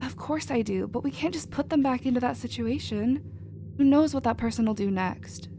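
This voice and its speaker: Woman as a caring, higher-pitched female alien. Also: normal speed, a little hiss or hum, natural voice. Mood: indignant, pleading. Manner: casual, nurturing, expressive. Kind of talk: scripted sci-fi audio roleplay, ASMR.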